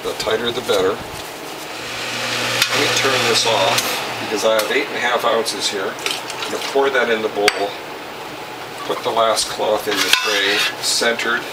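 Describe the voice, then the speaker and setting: A man in his sixties presenting in a deliberate, instructional way, like someone telling a plain story or giving a how-to demonstration. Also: fairly low pitch, steady tone. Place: home kitchen, indoors. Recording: noisy home-kitchen recording, distant mic.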